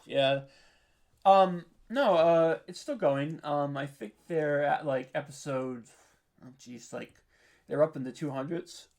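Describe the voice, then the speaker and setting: A man's voice: on the high side, slow, gravelly, darkish tone. A man speaking as a podcast guest in casual, informal interview chat, hesitant and modest about himself. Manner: hesitant, self-deprecating, informal. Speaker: podcast guest, audio drama writer and producer.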